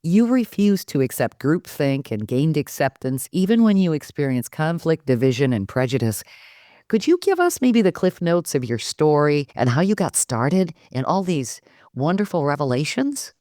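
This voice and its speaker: A woman's voice: deep voice, big pitch swings, normal speed, warm tone, no echo, studio quality. A woman co-hosting a podcast, reading an upbeat intro and then chatting enthusiastically with her guest. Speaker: podcast co-host. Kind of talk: scripted intro then casual interview.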